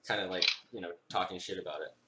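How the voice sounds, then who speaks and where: A young man co-hosting a casual podcast, talking loosely with lots of fillers and laughter while explaining things. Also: sits low. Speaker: podcast co-host. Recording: echoey room, faint hiss.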